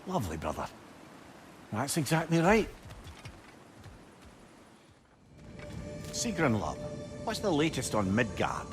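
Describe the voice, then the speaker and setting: A man's voice: medium pitch, wildly swooping pitch, moderate pace, faint music behind. An actor playing a witty, wistful old male advisor with a Scottish accent, telling stories lyrically and wryly in a video game. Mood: fond. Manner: lyrical, wry, conversational. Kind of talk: storytelling video game dialogue. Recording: ambient noise behind voice.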